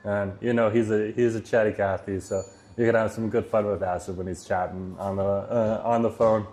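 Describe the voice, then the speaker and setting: A man's voice: steady tone, normal speed. A man casually chatting on a live stream from a Vietnamese restaurant, talking easily, reading chat messages aloud and laughing often. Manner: says he has a loud voice and lowers it. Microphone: phone.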